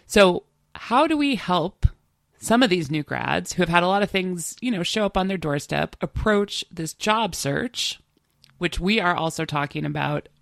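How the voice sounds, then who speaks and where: A middle-aged woman co-hosting a podcast while she has a head cold, so her voice comes out nasal. She talks in a chatty way and asks open questions. There is a gravelly fry in her voice, and the recording is dry and close. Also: low-pitched, fairly bright tone, dry recording. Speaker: podcast co-host. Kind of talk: conversational podcast chat.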